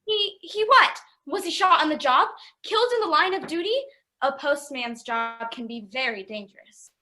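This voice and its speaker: A woman plays a confiding, self-assured housewife from a vintage radio commercial. Her voice is high and bright, with sweetly earnest, slightly patronizing delivery like a polished sales pitch. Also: expressive, moderate pace. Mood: concerned. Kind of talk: 1950s-style radio commercial acting.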